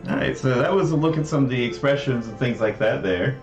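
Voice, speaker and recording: A man's voice: mid-range voice, animated, fast talker. A man presenting a casual livestream demo, explaining things in a rambling way with frequent asides. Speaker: presenter demonstrating a visual novel project. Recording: roomy echo, faint music behind. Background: faint music.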